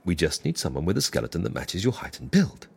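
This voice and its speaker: A dry, formal fixer in a comedy audio drama. The voice is husky and swings very widely in pitch, selling schemes in a measured, businesslike way. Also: very animated, exaggerated voice. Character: wry, businesslike fixer who arranges faked deaths for clients. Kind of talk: comic audio drama acting.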